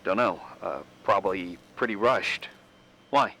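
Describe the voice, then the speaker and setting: A man's voice: sits low, measured pace, vocal fry, bright, forceful tone, heavily acted, low-quality recording. An actor playing a casual, wry and mildly skeptical man in naturalistic game dialogue, offhand and hesitant, a little evasive. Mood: awkward, casual.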